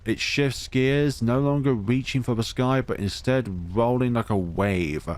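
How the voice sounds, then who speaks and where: A man's voice: mid-range voice, a little slow, darkish tone. A man reading a visual novel aloud in a dramatic way, adding asides and correcting his own stumbles. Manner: theatrical, stumbles and corrects himself. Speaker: let's play host. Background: music.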